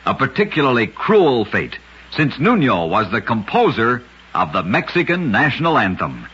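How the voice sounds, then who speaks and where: Husky American man narrating, animated and bright. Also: medium pitch, normal speed. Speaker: radio host and narrator of short true oddities. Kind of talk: scripted vintage radio narration. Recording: dull lo-fi vintage radio recording.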